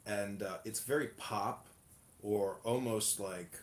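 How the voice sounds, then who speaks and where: A man's voice: lowish voice, takes it slowly, reverberant. A man, a political candidate, talking casually and indignantly in a rambling way with lots of fillers. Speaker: political candidate hosting an audio room.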